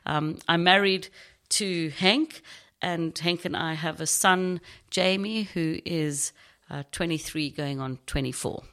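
A middle-aged woman from South Africa with a South African accent, a podcast guest telling her story thoughtfully with many pauses and ums. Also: fairly low pitch, a bit bright. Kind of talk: casual interview conversation.

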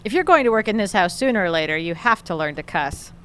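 Theatrical, tart older landlady mother; breezy, animated, slight background ambience. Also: lowish voice, fast, a little hiss or hum. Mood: tart, teasing. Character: sharp-tongued, eccentric older mother running a boarding house. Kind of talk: naturalistic audio drama acting.

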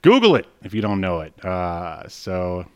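A man's voice: deep voice, huge pitch swings, unhurried, clean tone, dry recording. A man co-hosting a podcast in casual, joking banter, laughing often and saying "uh" a lot. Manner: jokey, laughs often, many "uh" fillers. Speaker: podcast co-host.

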